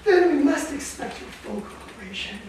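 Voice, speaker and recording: A woman playing a self-important, halting family spokesperson in an improvised staged reading. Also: high-pitched, lively intonation, darkish tone. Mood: formal, halting. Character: officious relative explaining a dying patriarch's care. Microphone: far camera. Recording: distant, echoey, noisy theater recording.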